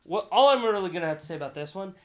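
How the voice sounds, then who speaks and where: A man's voice: sits high, animated, very fast. A man hosting a casual film discussion, speaking in an opinionated, emphatic way with plenty of swearing. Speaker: podcast host.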